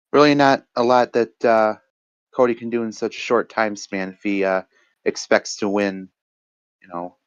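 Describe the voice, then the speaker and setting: A man's voice: on the low side, no room sound. Hesitant man commentating, dull lo-fi sound. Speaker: match analyst and co-commentator. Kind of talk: casual esports-style card game commentary.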